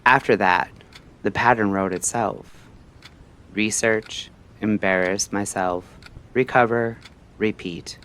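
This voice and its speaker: A man narrating a scripted story like a late-night radio host, in a measured, theatrical way with short phrases and pauses. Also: fairly low pitch, steady tone, a bit bright, smooth.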